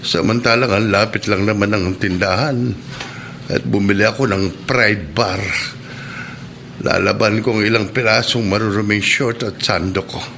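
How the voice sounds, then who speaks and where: Gravelly, weary old neighbor. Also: male voice, fairly low pitch, steady tone, normal speed, fairly bright tone, a little hiss or hum. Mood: weary, grumbling. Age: older. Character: weary old neighborhood man, easily winded. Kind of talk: Filipino radio drama acting.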